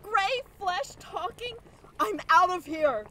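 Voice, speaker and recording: Casting: female actor for panicky leading lady of an old-time radio play, bright, very slow, melodramatic, faint noise behind. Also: very high voice, animated, heavily acted, rough recording. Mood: panicked. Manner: melodramatic, breathless with fear. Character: terrified, pleading sweetheart. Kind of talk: retro radio drama acting.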